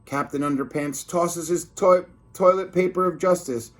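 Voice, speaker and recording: A man's voice: a little high, steady tone, normal speed. A man reading a children's book aloud expressively, like a teacher, with informal asides to his listeners.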